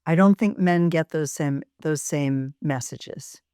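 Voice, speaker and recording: An American woman, a voice professor being interviewed, with a fairly deep voice, speaking thoughtfully with pauses and fillers. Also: warm tone, clean tone, no echo, studio quality. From United States. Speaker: voice and speech professor, guest. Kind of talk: interview answers.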